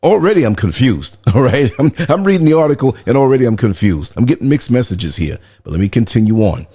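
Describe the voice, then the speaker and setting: A man hosting his own show, reading a news article aloud in a sleepy, low-key voice with dry sarcastic asides. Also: sing-song, quick pace, mellow, slightly rough, dry recording. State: just-woken sleepy voice. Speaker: podcast host.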